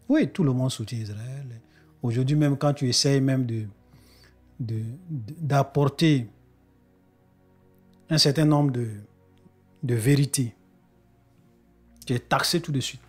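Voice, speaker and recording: A man's voice: medium pitch, unhurried, pauses often, a little mellow, husky, faint music behind. A man with a cold preaching to his brothers and sisters in an earnest spoken sermon, often restarting his phrases. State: congested with a cold.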